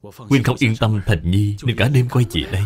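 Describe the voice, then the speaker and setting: Man voicing a low-pitched, troubled cartoon merchant. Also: moderate pace, lightly acted. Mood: dejected. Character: troubled elder brother, a merchant, grateful and weary. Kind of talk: dubbed cartoon dialogue.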